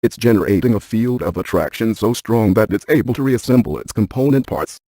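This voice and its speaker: An actor playing a brainy, dryly cautious ghost hunter scientist in a video game, speaking in a deadpan, precise, technical way. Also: male voice, lowish voice, brisk, breathy edge, dry recording. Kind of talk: scripted video game character dialogue.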